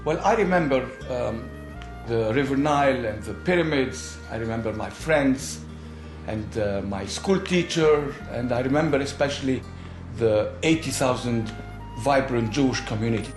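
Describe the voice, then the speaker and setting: A man's voice: medium pitch, moderate pace. An older man from Egypt recalling his youth in a documentary interview, reflective and emphatic. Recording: echoey room with soft music.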